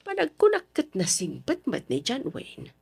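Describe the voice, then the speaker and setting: A woman playing a fond, chatty middle-aged mother in a Filipino radio drama, expressive and drawn out. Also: high voice, measured pace, gravelly, husky, full character voice. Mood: wistful, fond.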